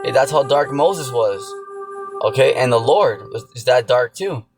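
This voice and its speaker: A man's voice: mid-range voice, lively intonation, unhurried. A man giving an informal sermon, repeating himself and often checking in with the listener. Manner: repetitive, filler-heavy, keeps checking in with "okay" and "you know". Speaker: religious teacher. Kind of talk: informal preaching. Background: music.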